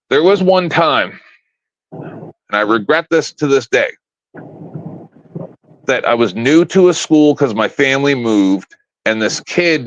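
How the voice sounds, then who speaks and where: A man's voice: medium pitch, fairly bright tone, dry recording. A man in his fifties, a panel guest on a live stream, ranting in a blunt, profane, confrontational way from home. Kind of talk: casual live-stream rant. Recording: dull, lo-fi, dry stream audio.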